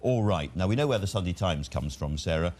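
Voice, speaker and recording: A man with a very low voice hosts a television discussion of the day's papers. He moves things along briskly and hands the talk from one guest to the next. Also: animated, a little mellow. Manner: brisk, steering the conversation. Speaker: television host. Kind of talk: casual studio discussion. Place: television studio.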